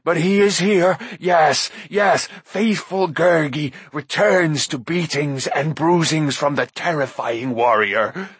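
A man voicing a groveling, whimpering shaggy male forest creature with a high, slow, whining voice. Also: a bit bright, breathy edge, dry recording, full character voice. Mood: groveling whine.

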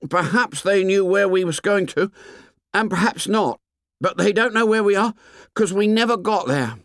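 Man doing anxious high-voiced schoolboy. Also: animated, normal speed, fairly bright tone, no echo, studio quality. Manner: pleading, insistent, colloquial. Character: anxious, insistent schoolboy with childish grammar, indignant. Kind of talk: audiobook character voice.

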